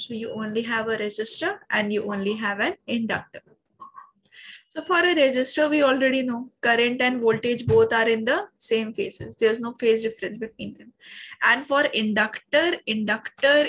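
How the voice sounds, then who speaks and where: A woman with an Indian English accent teaching a physics class online, husky from a sore throat, explaining each step and often addressing the class. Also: a little high, a bit bright, low-quality recording.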